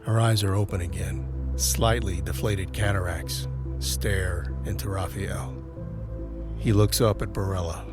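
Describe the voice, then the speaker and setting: A man narrating a scripted crime-horror audio drama in a measured, deliberate storytelling manner. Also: low-pitched, steady tone, music in the background.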